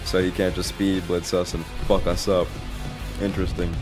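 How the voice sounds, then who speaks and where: A man's voice: deep voice, little pitch movement, moderate pace. A man giving casual, slangy, profane commentary as he plays a video game. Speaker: gamer reacting to gameplay. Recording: game music behind the commentary.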